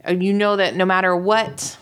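A woman co-hosting a podcast in relaxed, unscripted conversation, supportive and agreeable as she chimes in. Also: medium pitch, normal speed, clear, resonant tone. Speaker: podcast co-host and show creator. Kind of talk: casual, unscripted podcast chat.

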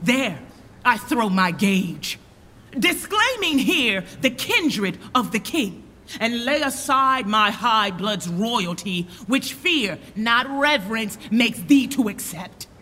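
A scornful, wrathful young nobleman of the Shakespearean stage, acted by a woman, declaims accusations at a measured pace. The delivery swoops widely in pitch and rings with force through a long hall reverb. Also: sing-song, bright, forceful tone, full character voice. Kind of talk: Shakespearean verse drama acting.

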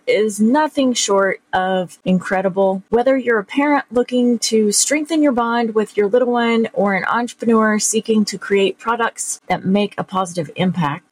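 A woman's voice: medium pitch, breathy edge, no room sound, rough recording. A woman hosting a podcast, introducing her guest with upbeat enthusiasm and asking questions. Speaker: podcast host. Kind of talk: podcast interview with read ad. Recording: music playing behind the intro.

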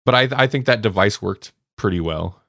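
A man co-hosting a casual review podcast, animated as he talks and voicing reactions. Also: sits low, a little fast, gravelly, no room sound, clean studio sound. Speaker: podcast co-host.

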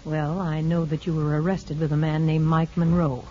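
An actress playing a brisk, firm but kindly women's prison official in a measured 1950s radio drama style. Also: female voice, low-pitched, level tone, mellow, slight background noise, natural voice. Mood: stern, businesslike. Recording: muffled old radio broadcast with music.

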